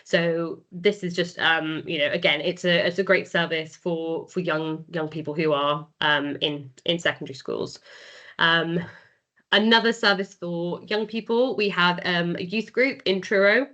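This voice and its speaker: A woman's voice: on the low side, steady tone, measured pace, pushed and bright. A woman presenting a charity's services in an informal, explanatory way, speaking conversationally and hesitantly with frequent ums. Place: online video meeting recording. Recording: muffled online meeting audio.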